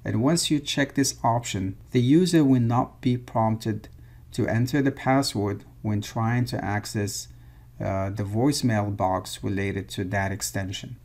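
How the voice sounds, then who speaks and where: A man's voice: fairly low pitch, darkish tone. A man presenting an instructional screencast, explaining things step by step. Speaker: software tutorial presenter.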